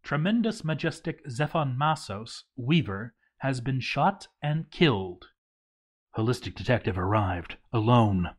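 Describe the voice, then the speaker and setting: A man's voice: medium pitch, very animated, measured pace. Man narrating a game story dramatically.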